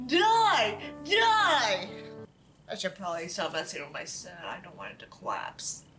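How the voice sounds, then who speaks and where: A woman's voice: lowish voice, very animated, a little fast, over music. A woman reading a book aloud theatrically, with sarcastic, exaggerated delivery and dry asides. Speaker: host reading a book aloud with mocking commentary.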